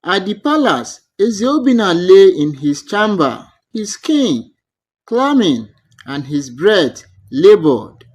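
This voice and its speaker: Male storyteller, scripted folktale, very slow pace, highly varied intonation, dramatic, deliberate. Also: sing-song, slightly rough. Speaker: storyteller, narrator.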